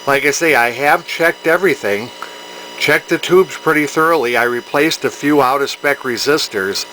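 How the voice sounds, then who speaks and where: A man's voice: on the high side, moderate pace, sharp tone, slight background noise, poor audio. A man giving casual, hands-on repair commentary as a technician, plain-spoken and technical, thinking aloud as he works. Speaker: electronics repair technician and video host.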